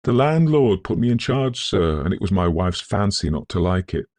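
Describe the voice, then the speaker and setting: A man's voice: sits low, sing-song, normal speed, a little mellow, dry recording, voice pushed up. A man voicing a humble, kindly working-class night watchman in an audiobook, anxious and deferential. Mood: quiet, kindly. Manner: deferential, concerned.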